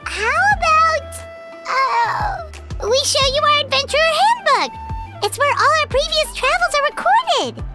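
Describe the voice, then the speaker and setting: Squeaky, tiny excitable fairy companion. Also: female voice, a little slow, exaggerated voice. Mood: nervous. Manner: squeaky, indignant, dramatic. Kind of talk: video game voice acting. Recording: loud music behind the voice.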